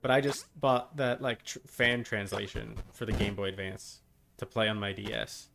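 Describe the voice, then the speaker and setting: A man's voice: on the low side, fairly even intonation, moderate pace, gravelly. Male game streamer chatting over background noise. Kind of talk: casual live-stream chat while gaming.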